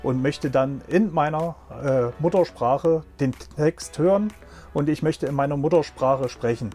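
A man's voice: a little high, unhurried, dark tone, soft background music. A middle-aged man, a train driver, speaking plainly in an interview from a locomotive cab, with a few hesitations.

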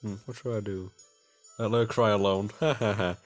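A man with a fairly low voice hosts a visual novel playthrough. He reads the text aloud casually and drops in jokey, self-mocking remarks. Faint game music plays behind him. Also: fairly even intonation, fairly quick. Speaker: video game playthrough host.

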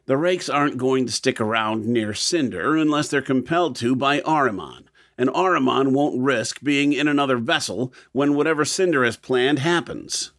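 A man's voice: mid-range voice, normal speed, fairly bright tone, no room sound, clean studio sound, lightly acted. A man playing a practical, level-headed planner in an audio drama, speaking matter-of-factly.

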